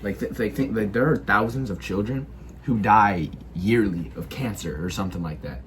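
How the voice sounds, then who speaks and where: A teenage boy speaks in a low register, audibly sick. He talks haltingly and keeps restarting his sentences with filler words. A faint background noise sits under his voice. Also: a bit bright. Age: teens. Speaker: video creator. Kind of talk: casual opinion monologue.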